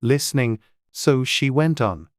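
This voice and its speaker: A man narrating a storybook from a script, measured and clearly enunciated. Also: medium pitch, animated, dry recording, clean studio sound. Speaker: audiobook narrator.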